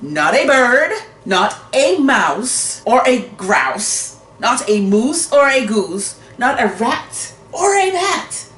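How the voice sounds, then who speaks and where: Woman playing a stern, animated mother cat. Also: a little high, takes it slowly, few pauses, voice pushed up, heavily acted. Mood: lecturing. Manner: emphatic, lecturing. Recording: roomy home recording, background noise.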